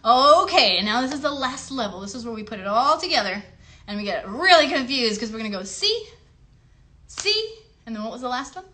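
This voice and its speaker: A woman's voice: fairly high pitch, big pitch swings, a little fast, sharp tone. A woman hosting a children's show, giving playful, encouraging instructions straight to young viewers. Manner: encouraging, talks directly to children. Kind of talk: playful instruction and singalong for kids. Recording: clear background noise on livestream.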